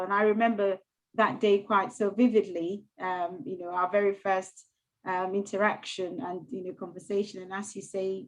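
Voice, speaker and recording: Soft-spoken woman, halting speech. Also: mid-range voice, rough recording. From Ghana. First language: not English. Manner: soft, halting, with many fillers and restarts. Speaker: mayor, interview guest. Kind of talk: casual interview conversation.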